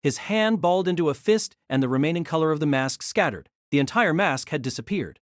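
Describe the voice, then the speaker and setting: Animated higher-pitched man narrating a story. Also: brisk, dry recording, studio quality. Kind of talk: dramatic scripted story narration.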